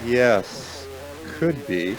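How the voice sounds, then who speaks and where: A man's voice: mid-range voice, lively intonation, drawn-out words, rough recording. An actor in a radio play voicing an anxious, self-deprecating man stranded in a snowstorm. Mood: uneasy. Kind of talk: naturalistic radio play acting.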